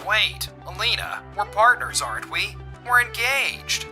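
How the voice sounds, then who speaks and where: A man's voice: on the high side, big pitch swings, a little slow, a bit bright, faint music behind. A man playing an arrogant, easily panicked young company president in a manga dub, with dramatic, defensive delivery. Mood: flustered, pleading.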